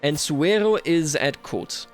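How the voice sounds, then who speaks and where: A man's voice: a little high, animated, slow. A man hosting a gaming video, thinking aloud in a musing way as he roleplays a strategy game. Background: music.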